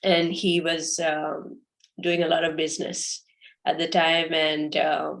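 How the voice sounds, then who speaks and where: A young woman from Sri Lanka, a podcast guest telling her story in a halting way full of fillers and restarts. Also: sits low, monotone, gravelly, a bit bright. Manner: hesitant, many fillers and restarts. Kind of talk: casual interview answers.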